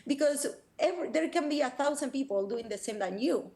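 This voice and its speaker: A woman's voice: sits high, lively intonation, a little fast, creaky, warm tone. A woman from Argentina with an Argentine accent, a personal branding coach speaking eagerly in an interview over a video call.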